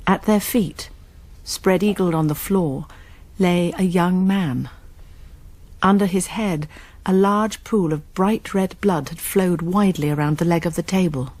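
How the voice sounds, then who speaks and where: A woman's voice: fairly low pitch, a little mellow. An actress with an English accent playing a composed, caring college nurse who also narrates her story in a radio drama. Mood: grave, narrating. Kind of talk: radio drama acting and first-person narration.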